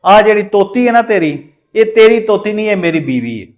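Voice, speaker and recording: Bossy male owl for a folk fable, voiced by a man, high Punjabi voice, insistent and unyielding. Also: expressive, a little fast. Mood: stubborn. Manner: insistent, commanding. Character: stubborn, domineering male owl.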